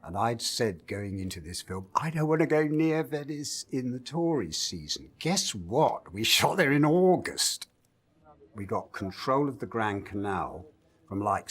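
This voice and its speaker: Lively-pitched man telling a shoot story. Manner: laughing, expressive storytelling. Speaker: filmmaker. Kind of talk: interview anecdote.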